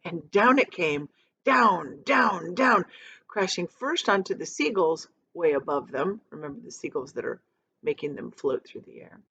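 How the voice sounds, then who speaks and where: A woman reading a children's book aloud like a teacher, expressive and dramatic, with emphatic pauses. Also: lowish voice, creaky, husky, highs cut off. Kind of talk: expressive storybook read-aloud.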